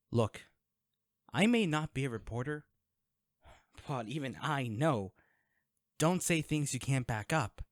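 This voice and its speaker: A dry, intimate recording carries a man acting as a wealthy, secretive vigilante in an audio drama. He is medium-pitched and very animated, moving between sarcasm and curt menace. He speaks straight at the listener, guarded and threatening. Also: no echo. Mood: stern.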